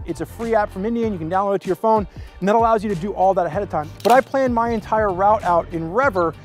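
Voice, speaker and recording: High-pitched male motorcycle reviewer. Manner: conversational, explanatory. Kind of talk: presenting a vehicle review to camera. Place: outdoors beside a motorcycle.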